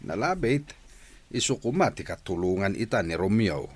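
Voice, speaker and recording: A man's voice: lowish voice, big pitch swings, moderate pace, slightly rough. A man with an Ilocano accent playing a village father and husband in a radio drama. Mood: grave, concerned. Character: exasperated village father, older brother and husband.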